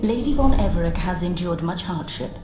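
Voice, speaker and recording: A woman playing a solemn, cryptic spirit servant in a video game, speaking in a measured, formal, aloof way. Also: lowish voice, animated, close to an everyday voice. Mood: cool, measured. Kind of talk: video game character dialogue. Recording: echoing reverb, game music behind.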